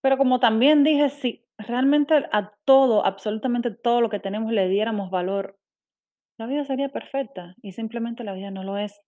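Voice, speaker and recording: A woman's voice: on the high side, moderate pace, a little mellow. A young woman from Cuba with a Cuban accent, speaking candidly and self-critically in a personal monologue, with frequent restarts. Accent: Cuban Spanish.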